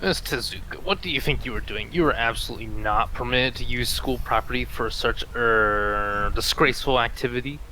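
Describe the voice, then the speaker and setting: A man's voice: mid-range voice, a little fast, hardly any pauses, pushed and bright, slight background noise, close to an everyday voice, low-quality recording. A man voicing a stern, prim female student who scolds formally in an amateur visual novel read-aloud. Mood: stern scolding. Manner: formal, reproachful.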